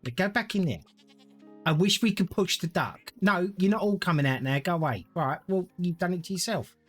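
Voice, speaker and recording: Casting: male streamer, rambling, lively voice that rises and falls, faint music behind. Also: high voice, fairly quick. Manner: rambling, thinks aloud, chats to viewers. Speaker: gaming streamer. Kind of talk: casual live-stream gameplay commentary.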